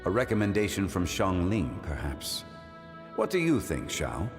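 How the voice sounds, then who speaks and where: A man voicing a calm, formal, scholarly gentleman in a video game, measured and courteous. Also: low voice, full character voice. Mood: courteous, musing. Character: calm, dignified, scholarly gentleman of ancient wisdom. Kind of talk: English video game voice acting. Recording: long reverb, music behind.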